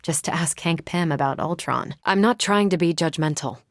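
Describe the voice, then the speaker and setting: A woman's voice: sits low, normal speed, dry recording. Young American superhero woman, video game hero, confident, wry, casual banter, upbeat leader, very dry studio sound.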